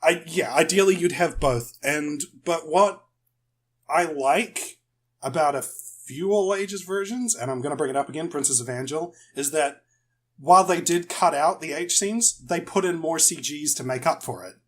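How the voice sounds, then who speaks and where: A man's voice: on the high side, moderate pace. A man speaking as a podcast guest, explaining his views casually and rephrasing as he goes.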